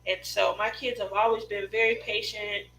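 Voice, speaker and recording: Bright-voiced middle-aged woman chatting. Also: medium pitch, flat delivery, normal speed, slight background noise, low-quality recording. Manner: chatty, rambling personal storytelling. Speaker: craft livestream host. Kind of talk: casual chat with live viewers.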